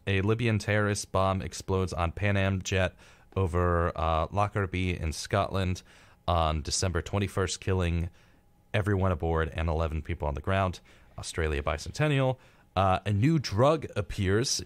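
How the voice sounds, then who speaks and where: Low voiced guy on a radio show, dry close sound, reads stuff out and cracks irreverent asides. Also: smooth, no echo. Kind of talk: casual live talk reading a history rundown with joking asides. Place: radio studio.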